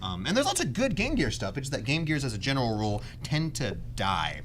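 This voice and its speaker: Guy hosting a gaming chat, super animated pitch, talks fast, jokey, some background noise. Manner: animated, jokey, acts out quoted lines. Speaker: podcast host. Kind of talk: casual chat about video games. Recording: clear background room noise.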